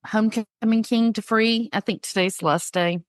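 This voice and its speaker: A woman's voice: a little high, steady tone, moderate pace, dry recording, studio quality. A woman co-hosting a podcast in casual chat, stuffed up from a cold, chatty and telling stories. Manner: chatty, storytelling. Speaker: podcast co-host.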